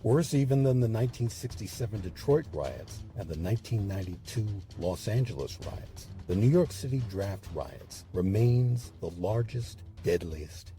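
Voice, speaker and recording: A man narrating scripted history as a documentary host, with measured, emphatic storytelling. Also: lowish voice, lively intonation, warm tone, soft background music.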